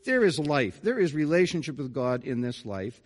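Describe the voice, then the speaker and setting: A man's voice: on the high side, sing-song, normal speed. A middle-aged man, a pastor delivering an earnest, conversational sermon with folksy asides. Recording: reverberant church hall.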